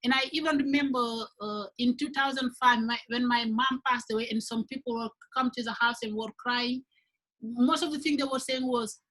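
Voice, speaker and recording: A woman from Senegal, a high-school teacher, speaking earnestly in a panel discussion and often repeating words as she builds a point. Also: steady tone, normal speed, fairly bright tone, poor audio.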